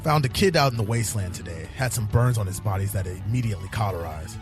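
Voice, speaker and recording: A man playing a world-weary, cynical police detective in a fan-made sci-fi noir audio drama, terse and deadpan. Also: fairly low pitch, quick pace, over music, lightly acted. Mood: businesslike, easygoing. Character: world-weary hard-boiled male police detective in space.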